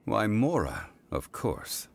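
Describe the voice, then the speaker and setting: Very deep, composed scholarly gentleman. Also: wildly swooping pitch, vocal fry, slight background noise, full character voice. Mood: composed, explanatory. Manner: measured, formal, old-fashioned diction. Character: composed, learned, refined gentleman, calm and formal. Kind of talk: video game voice acting. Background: soft game music.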